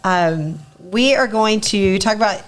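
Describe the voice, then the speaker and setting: A woman co-hosting a casual comedy podcast, her voice raspy as she recovers, chatty and quick to laugh. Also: mid-range voice. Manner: chatty, laughs easily, promo-style plugs. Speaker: podcast co-host.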